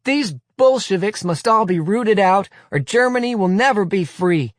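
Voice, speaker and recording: Man playing a fervent teenage boy in a spoken story, his voice pushed well above the narrator's own. He shouts his line glibly and pat, like a slogan. The sound is dry. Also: high voice, expressive, normal speed, no echo, pitched above the performer's own voice. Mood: zealous. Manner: glib, shouting.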